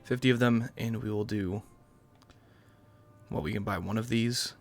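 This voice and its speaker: A man's voice: fairly low pitch, normal speed, vocal fry, soft background music. A young American man giving casual, rambling let's-play commentary as the host of a gaming channel. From New York, United States. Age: young adult. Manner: rambling, self-qualifying.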